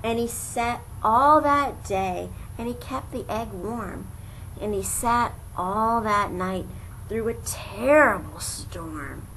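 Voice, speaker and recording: A woman reading a children's story aloud as an amateur, with dramatic, expressive narration. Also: mid-range voice, slow. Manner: dramatic, lingering on repeated phrases. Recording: noisy amateur home recording.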